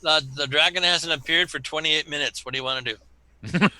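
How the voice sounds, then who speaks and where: A man's voice: fairly high pitch, moderate pace, bright, forceful tone, poor audio. A man running a tabletop role-playing game as game master, bantering and teasing his players.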